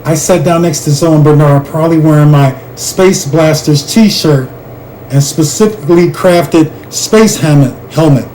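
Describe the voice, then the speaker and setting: A man reading a children's chapter book aloud in an animated, expressive way, speaking directly to the listener. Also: fairly high pitch, a little slow, slight background noise, rough recording.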